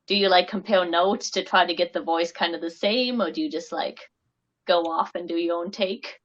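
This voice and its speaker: A woman's voice: lowish voice, moderate pace, lo-fi sound. A woman from Canada, an author guest talking casually over a video call, chatty and full of "like.".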